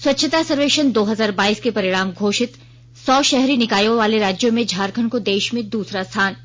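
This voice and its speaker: A woman's voice: a little high, fairly quick. A woman with an Indian accent reading a formal, scripted news bulletin as a radio newsreader. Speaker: news reader. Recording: dull, band-limited radio broadcast sound.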